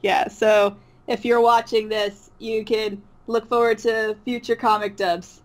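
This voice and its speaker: Woman guest in a casual chat, kinda high voice, giggly and a bit hesitant. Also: flat delivery, unhurried. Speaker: interview guest, voice actor who makes comic dubs.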